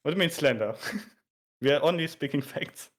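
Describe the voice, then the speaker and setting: A stream guest chatting casually at a middling pitch. The speech rambles and hesitates, full of fillers and soft laughs. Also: fairly quick. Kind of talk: casual chat.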